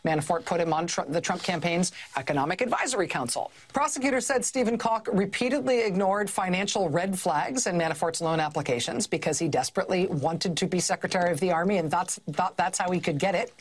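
A woman reports the news in a fairly low voice. She explains things conversationally, as if live on air, and leans on the key phrases. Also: fast talker, few pauses, slightly rough.

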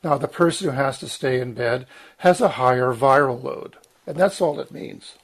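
A man in his sixties, croaky from medication, giving an earnest, emphatic talk with frequent ums, restarts and throat-clearing. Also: medium pitch, normal speed. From Lower Mainland, Canada. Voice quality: husky, clears throat often. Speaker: guest speaker, former journalist. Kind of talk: long spoken commentary, guest talk.

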